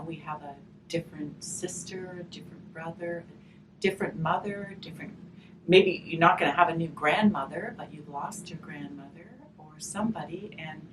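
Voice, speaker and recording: A woman speaking softly and reflectively in an unhurried way, as if leading a gentle group session and storytime. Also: lowish voice. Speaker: hospice volunteer leading a grief session. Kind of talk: gentle spoken session with storybook read-aloud. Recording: distant microphone, clearly noisy room ambience.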